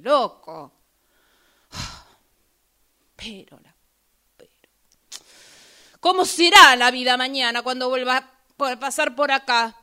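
A woman plays a restless, exasperated passenger in a radio monologue. She speaks slowly, in a bright voice pitched a little high. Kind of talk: amateur radio theatre monologue.

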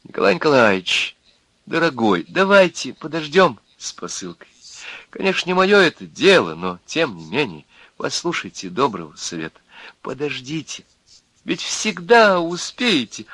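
A man's voice: a little high, sing-song, normal speed, pushed and bright, no room sound, voice pushed up, exaggerated voice. A man voicing a timid female clerk in a story, pleading and hesitant as she coaxes and advises. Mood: coaxing. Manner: coaxing, hesitant. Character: timid, pleading female post office clerk giving advice. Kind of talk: dramatized storytelling.

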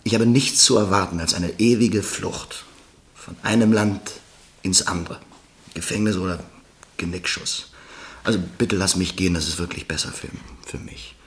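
An actor playing a troubled American ex-soldier living on the run under a false name, speaking with urgent self-reproach in a radio drama. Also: male voice, sits low, normal speed, lightly acted. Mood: pleading.

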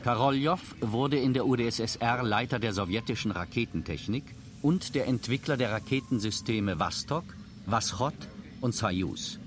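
A man's voice: medium pitch, moderate pace. A man reading scripted narration for a radio documentary. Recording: clear background ambience noise.

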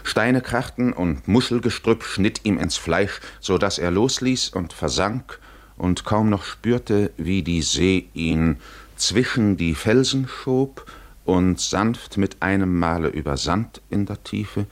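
Male narrator, very low pitch, slow pace, grave and deliberate, 1950s literary radio play. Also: expressive.

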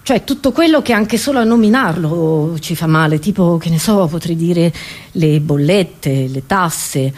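A woman's voice: fairly low pitch, expressive, measured pace, darkish tone. A woman comedian delivering a theatrical stand-up monologue, acting confused and putting rhetorical questions to her listeners.

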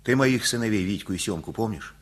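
An actor in a radio play as a kindly, folksy older male neighbour who preaches in an avuncular, colloquial way with a husky voice. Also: lowish voice, lively intonation, a little fast. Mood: earnest admonishing.

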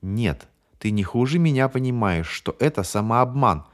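A man voicing a calm, controlled man who speaks in measured tones. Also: mid-range voice, lightly acted. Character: calm, strained man keeping composure.

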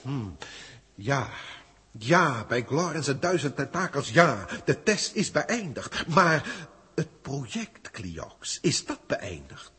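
An actor in a 1960s radio drama playing an old, emotional alien proctor, speaking theatrically in formal, archaic phrases with a husky voice. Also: male voice, mid-range voice, big pitch swings, slow pace, sharp tone, exaggerated voice. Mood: agitated, defiant. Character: old emotional alien senior proctor, male teacher, wry. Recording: reverberant 1960s radio studio recording.

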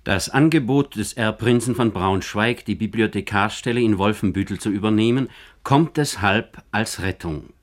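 A male narrator with a fairly low voice reads a biographical radio feature. His delivery is formal and measured, clearly scripted.

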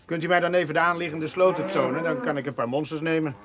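An actor playing a polite, insistent government inspector in a radio play, formal and businesslike. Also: male voice, fairly high pitch, fairly even intonation, quick pace, crowd noise, lightly acted. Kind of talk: Dutch radio play acting.

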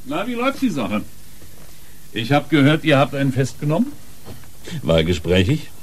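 An actor playing an inquisitive police colleague in a radio crime drama. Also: male voice, mid-range voice, huge pitch swings, moderate pace. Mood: casual.

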